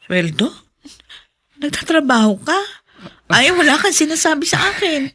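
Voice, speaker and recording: A self-effacing Filipino mother in a radio drama. Her voice is fairly high, and she speaks gently and hesitantly, as if hiding her worries. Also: big pitch swings, moderate pace. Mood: hurt, tearful. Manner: gentle, hesitant, brushing off concern. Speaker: actress in a drama.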